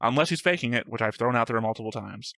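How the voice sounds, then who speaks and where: A man's voice: mid-range voice, quick pace, gravelly, a bit bright, dry recording. A man co-hosting an anime reaction show, rambling and arguing his points while searching for words. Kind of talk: casual discussion.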